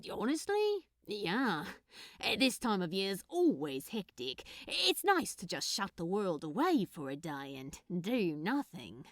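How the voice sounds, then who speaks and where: A woman voicing a tired, hesitant, dryly grumpy but soft-hearted man in a storybook reading. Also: mid-range voice, sing-song, a little slow, dry recording. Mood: weary, awkward. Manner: hesitant, mumbling, dry.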